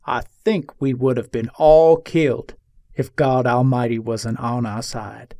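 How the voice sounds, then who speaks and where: A man playing a jailed Old West gunfighter in a dramatic reading, giving his account in a measured, matter-of-fact way. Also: medium pitch, fairly even intonation, dark tone, dry recording, clean studio sound, close to an everyday voice. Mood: grave, recounting. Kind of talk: dramatic reading of an 1882 newspaper interview.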